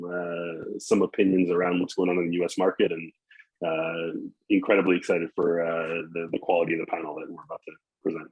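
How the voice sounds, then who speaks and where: A man's voice: slightly rough, poor audio. A man from Canada introducing himself upbeat as a panelist, with many fillers. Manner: upbeat, filler-heavy pitch. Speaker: panelist, VP of sales. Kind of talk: online panel discussion. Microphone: video call.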